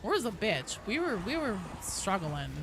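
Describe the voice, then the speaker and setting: A woman's voice: mid-range voice, very animated, normal speed. Sweary woman game streamer with background noise. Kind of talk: casual live gaming chat.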